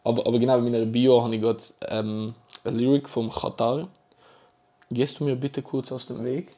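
A young man with a Swiss German accent, co-hosting a casual chat podcast, emphatic and quick to react and laugh. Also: medium pitch, level tone, dark tone. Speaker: podcast co-host.

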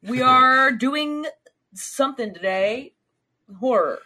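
A woman's voice: sits high, lively intonation, takes it slowly, sharp tone. A young woman co-hosting a casual film podcast, easygoing and often laughing. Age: young adult. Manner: giggly, easygoing. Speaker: podcast co-host.

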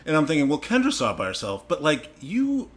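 A man's voice: on the high side, huge pitch swings, fast, a bit bright. A man co-hosting a podcast, bantering playfully in casual chat from a small room. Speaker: podcast co-host. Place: small warm room without air conditioning.

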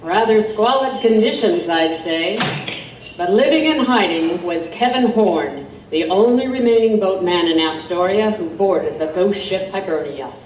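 A woman's voice: mid-range voice, animated, measured pace, darkish tone, recorded from a distance, a little hiss or hum, natural voice. A woman playing a wry reporter who narrates her own period investigation in scripted first person. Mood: wry, storytelling. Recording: echoey, off-mic, music behind.

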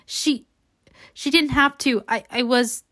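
Storybook part: gentle, nervous young woman, slightly high, carefully reassuring read by a woman. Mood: pleading. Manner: halting, soothing, nervous.

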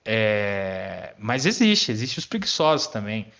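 A man's voice: fairly low pitch, big pitch swings, slow, a bit bright, soft background music. Brazilian man in his late twenties, casually explaining. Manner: informal, explanatory, sprinkled with slang and swearing. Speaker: history teacher and podcast guest. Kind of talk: casual conversation.

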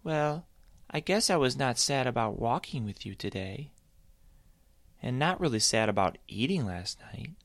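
A man voicing a sad, hesitant female bunny who speaks slowly and mopily. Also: medium pitch, animated, no echo, pitched above the performer's own voice. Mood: glum. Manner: slow, hesitant, mopey.

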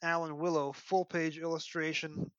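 A man talks as a podcast host in a slightly high register. He is conversational and analytical, eager about the finer details. The recording is very dry and a little dull. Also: little pitch movement, normal speed, a bit bright, no echo. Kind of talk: conversational book discussion podcast.

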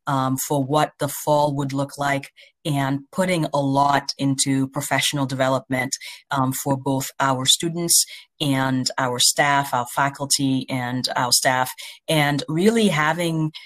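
A university president from Haiti giving thoughtful interview answers in formal academic phrasing, with a measured, earnest manner. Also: mid-range voice, fairly even intonation, fairly bright tone.

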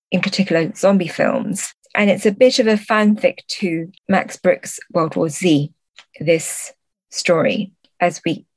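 A woman's voice: lowish voice, dry recording. A woman speaking as a podcast guest and translator, explaining literature thoughtfully and often searching for her words.

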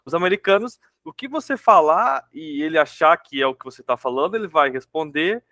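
A Brazilian podcast host speaks with a Brazilian Portuguese accent in a loose, joking way, full of colloquial asides. His voice sits at a mid pitch for a man. The recording is dry and close, with no room echo. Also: expressive, fairly quick, pushed and bright. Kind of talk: casual interview conversation.

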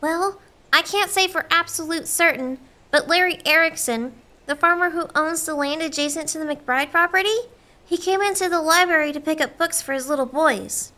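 Casting: chatty townswoman for family radio drama, played by an actress, upbeat, very high and bright. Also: moderate pace. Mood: flustered, chatty. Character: chatty, upbeat small-town woman. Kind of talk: radio play acting.

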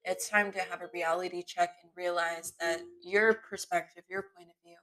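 A woman hosting her own podcast, talking off the cuff in a candid, rambling way, her voice sometimes smooth and sometimes raspy. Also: lowish voice, steady tone, normal speed, fairly bright tone, rough recording. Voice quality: voice shifts between smooth and raspy. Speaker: podcast host. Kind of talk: unscripted solo podcast monologue. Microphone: computer mic in web browser.

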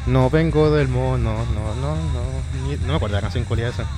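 A man's voice: mid-range voice, brisk, over music. A Chilean man with a Chilean accent, co-hosting a casual podcast and joking around with crude slang. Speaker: podcast co-host. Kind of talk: casual chat.

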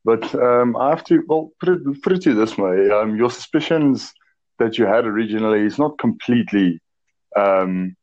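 A South African man in his late twenties talking casually in a measured way, with frequent "uh" and "um". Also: animated, a little mellow. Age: 20s. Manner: measured, chatty, slips in "uh" and "um". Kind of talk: casual chat. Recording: muffled low-fidelity audio.

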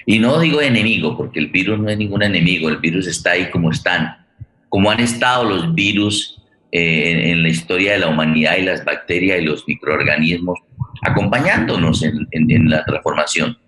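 A man with a Colombian accent speaking as a host in an unscripted, reflective introduction, halting and repeating words as he goes. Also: low voice, a bit bright. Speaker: host and theater director. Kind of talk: unscripted spoken introduction to a forum. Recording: roomy, echoey sound.

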